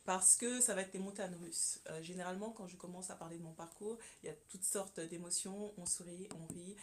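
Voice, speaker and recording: A woman from Congo speaks as a radio guest with a fairly low voice. She talks earnestly, pausing often and repeating words as she searches for them. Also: fairly even intonation, noisy background. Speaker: guest, communication consultant. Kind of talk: radio interview, personal testimony. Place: radio studio.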